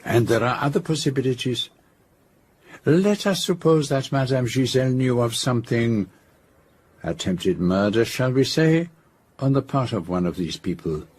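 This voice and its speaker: An actor playing a shrewd, courteous middle-aged detective with a French-speaking Belgian accent, speaking formally and precisely in a radio drama. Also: male voice, medium pitch, expressive, normal speed, a little mellow, husky. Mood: probing, courteous.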